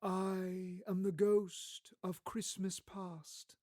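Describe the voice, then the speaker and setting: A man voicing an ageless spirit, part child and part old man, calm, solemn and commanding in a storybook radio play. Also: sits high, dry recording, clean studio sound, pitched above the performer's own voice. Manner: measured, solemn, unhurried.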